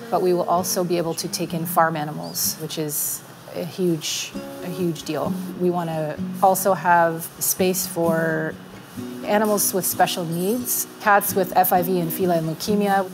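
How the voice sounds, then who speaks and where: A woman with a Canadian accent, a rescue co-founder, speaking emphatically in a seated interview. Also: fairly low pitch. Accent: Canadian English. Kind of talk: fundraising appeal interview. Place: indoor kitchen table interview. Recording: music bed under speech.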